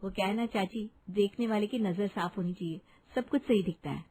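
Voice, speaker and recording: A woman's voice: mid-range voice, fairly quick, mellow, a little hiss or hum, natural voice, rough recording. A woman voicing a confident, modern young daughter-in-law who speaks her mind in a Hindi moral-story cartoon. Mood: defiant.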